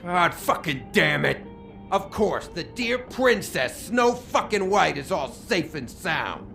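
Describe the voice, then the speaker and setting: An actor playing a bitter, hot-tempered brawler in a video game, gravelly and accusing. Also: male voice, high-pitched, sing-song, takes it slowly, pushed and bright, faint music behind, heavily acted. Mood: furious. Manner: cursing, accusatory.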